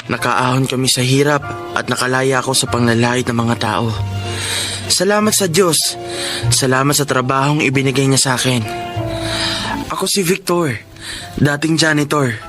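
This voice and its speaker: An actor playing a polite, humble young Filipino student in a radio drama, respectful and earnest. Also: male voice, mid-range voice, moderate pace, pauses often, music in the background, close to an everyday voice. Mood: grateful. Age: young adult.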